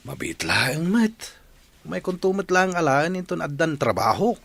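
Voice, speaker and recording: A man's voice: a little high, big pitch swings, a little slow, creaky, fairly bright tone, full character voice. A man playing an evasive, jobless father in an Ilocano village radio drama, speaking in an emphatic way. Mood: pleading.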